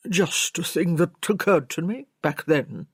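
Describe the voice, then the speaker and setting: A woman's voice: fairly low pitch, expressive, unhurried, slightly rough, no room sound, studio quality, exaggerated voice. A woman voicing a plain-spoken, frank male country servant in an audiobook. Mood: matter-of-fact. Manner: folksy, blunt.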